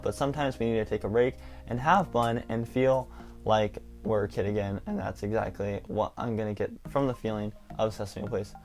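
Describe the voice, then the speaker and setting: Excited young man vlogging about theme parks. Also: medium pitch. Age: young adult. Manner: enthusiastic, rambling, lots of "like". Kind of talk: casual excited vlog talk.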